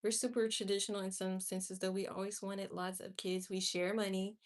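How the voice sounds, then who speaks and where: A woman's voice: medium pitch, little pitch movement, brisk. A woman in her late twenties chatting candidly and with strong opinions on a livestream, answering her viewers' comments directly. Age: 20s. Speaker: livestream host.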